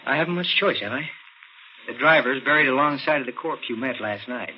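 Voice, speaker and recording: Cold-blooded racketeer played by a male actor, American, medium-pitched, clipped and commanding, muffled vintage radio thriller sound. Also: a little hiss or hum, lightly acted. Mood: cold, menacing. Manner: brisk, clipped, commanding tough talk. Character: cold-blooded racketeer murderer posing as a policeman. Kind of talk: 1940s radio thriller acting.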